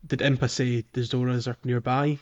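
A man's voice: medium pitch, level tone, moderate pace, darkish tone, dull audio. A man co-hosting a podcast in casual gaming chat, with a hesitant, rambling delivery. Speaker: podcast co-host. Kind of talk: casual video game chat.

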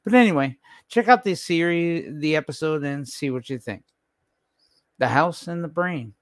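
A man's voice: a little high, expressive, normal speed, no echo. A man hosting a podcast in a casual, chatty style. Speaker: podcast host. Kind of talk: casual chat.

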